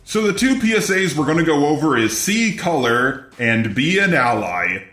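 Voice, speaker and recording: A man's voice: on the high side, big pitch swings, normal speed, bright, forceful tone, roomy sound. A synthetic, robotic male voice delivering scripted commentary in a blunt, sardonic way, as a robot persona. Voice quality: synthetic robotic text-to-speech voice. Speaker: video commentator.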